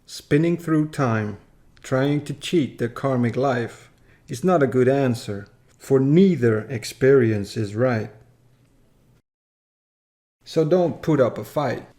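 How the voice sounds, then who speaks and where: A man narrates a rhyming tale at a slow pace. His delivery is solemn and measured, with a mid-range pitch. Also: mellow. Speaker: story reader.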